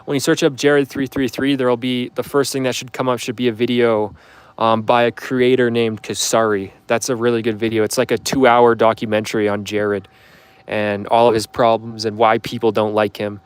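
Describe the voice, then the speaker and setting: A man's voice: mid-range voice, level tone, normal speed, clear, resonant tone, dry recording. A man in his twenties chatting casually on a livestream, answering viewer questions in a rambling, offhand way full of fillers. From Canada. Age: 20s. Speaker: livestream host.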